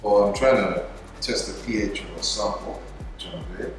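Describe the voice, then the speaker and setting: A man's voice: on the low side, moderate pace. A man from Uganda explaining his lab work and studies, with some fillers. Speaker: master's student. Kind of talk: self-introduction. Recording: distant mic in a reverberant hall with music.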